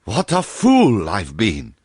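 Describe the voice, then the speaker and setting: A man voicing an excitable older male colonel who exclaims with a catch in his voice. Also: on the low side, slow, breathy edge, no echo, studio quality, heavily acted. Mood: startled.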